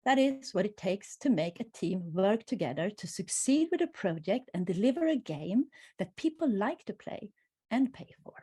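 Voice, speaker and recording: A woman's voice: mid-range voice, very animated, normal speed, mellow, slightly rough. Woman hosting an online seminar interview. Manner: welcoming, asks questions with some hesitation. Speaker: seminar host.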